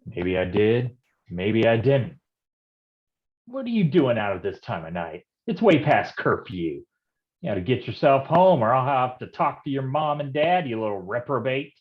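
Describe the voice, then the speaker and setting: A man's voice: medium pitch, very animated, moderate pace, muffled recording, natural voice. An actor playing a wisecracking, down-at-heel noir private detective in a stage reading, with slangy tough-guy talk. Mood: scolding. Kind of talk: noir stage acting with narrated monologue.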